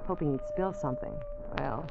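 A woman's voice: low-pitched, wildly swooping pitch, fairly quick, gravelly, mellow, faint music behind, exaggerated voice. An American actress playing a game, worldly young woman who confides coolly in a 1940s radio detective drama. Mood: wry, confiding. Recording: muffled, noisy 1940s radio recording.

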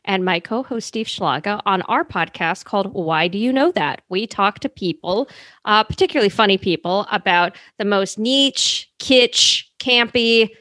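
A woman guest on a comedy podcast, talking quickly with restarts and jokes. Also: medium pitch, animated, a bit bright, no room sound. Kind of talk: casual chat.

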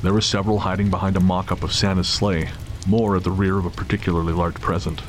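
A man's voice: deep voice, little pitch movement, fast, slight background noise. A man giving dramatic scripted narration of a thriller story as its narrator. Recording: music and rain under the voice.

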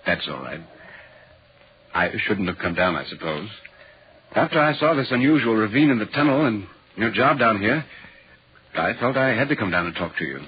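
An actor playing a courteous, inquisitive gentleman traveller in a 1940s radio horror drama, speaking politely and earnestly. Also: lowish voice, sing-song, moderate pace, pauses often, husky, heavily acted. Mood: polite, curious. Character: curious, courteous gentleman traveller visiting a signalman. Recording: muffled, noisy 1940s radio recording.

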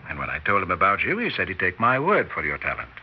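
An actor playing a smooth-talking, flattering theatrical con man, grandiose and persuasive, in a 1960s radio drama. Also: low voice, fast. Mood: regretful, hesitant. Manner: grandiose, persuasive, falsely reluctant. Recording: faintly noisy, dull 1960s radio recording.

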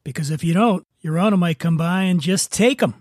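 Higher-pitched man hosting a spirits review. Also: brisk, noisy background. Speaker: podcast host reviewing spirits. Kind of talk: semi-scripted product review with casual asides.

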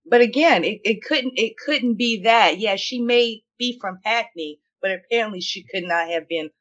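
A middle-aged woman tells a story in an emphatic, opinionated and chatty way. Her voice sits in a middle pitch, and the recording sounds dull and muffled. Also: normal speed, fairly bright tone. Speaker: podcast co-host. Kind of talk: casual conversation.

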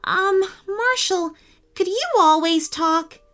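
A woman's voice: very high voice, takes it slowly, fairly bright tone, voice pushed up, exaggerated voice. A woman voicing a young boy, an anxious and questioning big brother, in a children's story. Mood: amazed, curious. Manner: questioning, concerned.

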